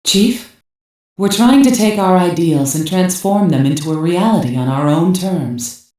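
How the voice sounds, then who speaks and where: In a very echoey, distant recording, a cyborg squad commander with a lowish female voice. She is cool, composed and commanding, handing out terse orders and assessments in dubbed anime game style. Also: lively intonation, unhurried, darkish tone. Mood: resolute. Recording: roomy heavy echo, off mic.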